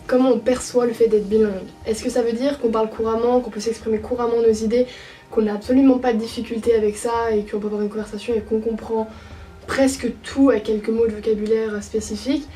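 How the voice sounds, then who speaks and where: A young woman from France chats through her thoughts in a hesitant vlog, stopping often to restart her sentences. Her voice sits a little high yet sounds mellow. She is heard from some distance from the mic, with faint music playing underneath. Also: steady tone, quick pace. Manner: hesitant, many fillers and restarts. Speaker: au pair vlogger. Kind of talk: casual reflective monologue.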